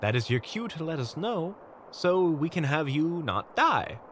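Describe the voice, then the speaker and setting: Man playing a wry, lively monster hunter. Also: fairly high pitch, measured pace, a little hiss or hum. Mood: calm, instructing. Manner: wry, teasing, explanatory. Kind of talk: audio roleplay acting.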